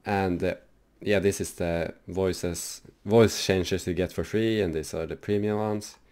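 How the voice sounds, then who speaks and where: Low-voiced man rambling through a tutorial. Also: steady tone, measured pace, darkish tone. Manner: rambling, loose, many fillers. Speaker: gaming channel host. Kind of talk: casual software tutorial.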